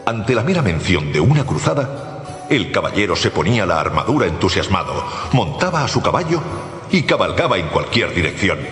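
Man, radio storybook narrator, theatrical delivery, wide swings of pitch, echoey reverb, music behind. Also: big pitch swings, brisk, fairly bright tone.

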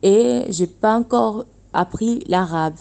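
A young woman from Angola, a native Portuguese speaker, talking earnestly about her work in a radio interview and often pausing to find her words. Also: mid-range voice, gravelly, dark tone. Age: young adult. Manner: earnest, searching for words with restarts. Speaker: guest, dressmaker running her own workshop.

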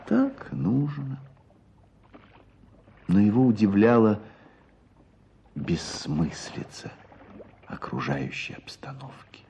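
An actor playing a thoughtful storyteller, narrating a Russian radio drama in a measured, literary, expressive manner. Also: male voice, on the low side, full character voice. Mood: reflective. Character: thoughtful male storyteller recounting a tale. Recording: radio play with faint background noise.

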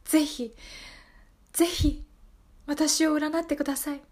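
A woman's voice: sits high, fairly even intonation, unhurried, a little mellow. A woman playing a desperate, gullible single woman in her thirties, pleading and repeating herself in an improvised radio drama. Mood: eager, desperate. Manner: pleading, breathless repetition, overwrought.

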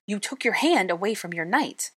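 A woman voicing an indignant, clever young witch who breaks into shrill, exasperated outbursts. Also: expressive, moderate pace, a bit bright, slightly rough. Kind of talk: audiobook character voice.

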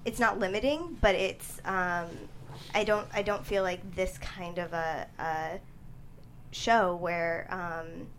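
A young woman answering interview questions as a guest writer, in a halting, thoughtful way. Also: on the low side. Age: young adult. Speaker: guest screenwriter and playwright. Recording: steady background noise.

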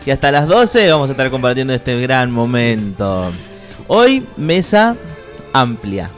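A man with a Rioplatense Argentine accent hosting a mock gossip show in polite, halting banter. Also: mid-range voice, big pitch swings, faint music behind. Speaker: host of a comic showbiz segment.

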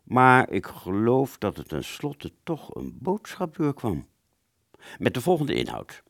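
A man's voice: medium pitch, huge pitch swings, slow, vocal fry, fairly bright tone, no echo, studio quality. A man narrating a first-person story for an audio play, hesitant and conversational, drawing out words and suddenly stressing others.

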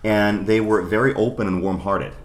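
A man from Germany whose first language was English, a guest in a casual interview, telling his story in a halting, self-deprecating way with many restarts. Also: on the low side, steady tone.

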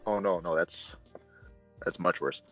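A man's voice: low-pitched, unhurried, fairly bright tone, no echo, dull audio, soft background music. A man hosting a casual movie review, talking in a joking, rambling way.

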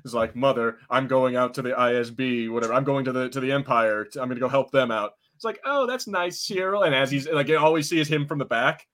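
A relaxed man co-hosting a podcast at an ordinary middle pitch. He chats loosely, laughs a lot and now and then slips into quoted voices. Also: fast talker, few pauses. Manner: laid-back, laughing, puts on quoted voices. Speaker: podcast co-host. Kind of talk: casual chat reading live chat comments.

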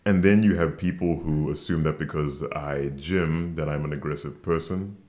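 A young man with a deep voice, co-hosting a casual podcast chat and teasing his co-host. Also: fairly even intonation, normal speed, darkish tone. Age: young adult. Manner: teasing, jokey.

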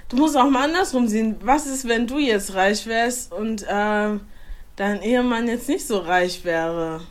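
A woman's voice: on the high side, a little slow. A woman from Germany, a guest chatting casually on a podcast and mixing in English phrases. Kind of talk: casual chat.

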